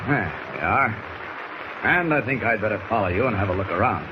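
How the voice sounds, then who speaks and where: A male actor as a fearless American superhero speaks with a low, confident voice and a taunting edge. It is old radio drama, declaimed through loud recording noise. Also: expressive, a little fast, highs cut off, close to an everyday voice. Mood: confident, purposeful. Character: bold, mocking American superhero with low heroic voice. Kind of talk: 1940s radio acting. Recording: very noisy 1940s radio recording.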